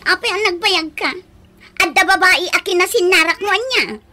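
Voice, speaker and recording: High-voiced, jealous sweetheart. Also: female voice, normal speed, pushed and bright, a little hiss or hum. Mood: jealous, scolding. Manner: scolding, accusing. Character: jealous, suspicious, bossy sweetheart of an old man. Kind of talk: radio comedy drama acting.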